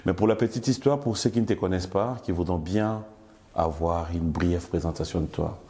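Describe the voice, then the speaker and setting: A man's voice: low-pitched, brisk, mellow. A man, hosting an interview in a playful, teasing way. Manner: playful, joking, laughs often. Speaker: interview host.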